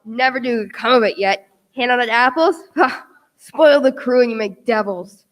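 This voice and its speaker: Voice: medium pitch, moderate pace, a bit bright, lightly acted. A disgruntled ship's captain as acted in a radio play. He is blunt and curt, grumbling in short plain statements. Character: gruff, discontented male ship's captain.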